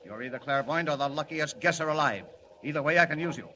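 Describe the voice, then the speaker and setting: An actor playing a blunt, impatient newspaper city editor in a 1940s radio drama. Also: male voice, a little high, fast talker. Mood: brusque. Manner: blunt, clipped orders. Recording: old radio recording with loud hiss.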